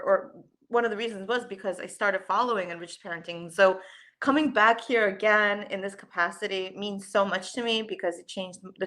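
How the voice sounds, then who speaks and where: A woman's voice: mid-range voice, fairly bright tone. A woman pediatrician giving an earnest, explanatory webinar talk over a video call, with frequent ums. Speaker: guest presenter, holistic pediatrician. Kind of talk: webinar presentation.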